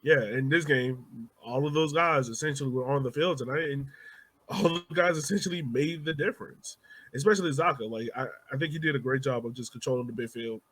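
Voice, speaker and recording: A man with a medium-pitched voice hosts a soccer podcast. He leads the discussion in a casual, conversational way, as in relaxed sports chat among co-hosts. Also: a little fast, rough recording.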